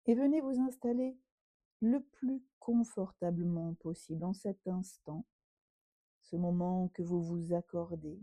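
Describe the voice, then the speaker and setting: A woman guides a relaxation session as a yoga teacher. Her voice is slightly low and very soft and mellow, recorded close and dry. She gives gentle instructions and leaves pauses between them. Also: no echo.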